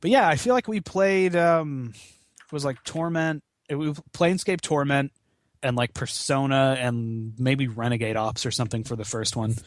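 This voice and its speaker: A man chatting casually as a podcast guest, rambling and halting, with some swearing. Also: mid-range voice, no echo. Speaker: podcast guest, charity gaming marathon organizer. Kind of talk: casual chat.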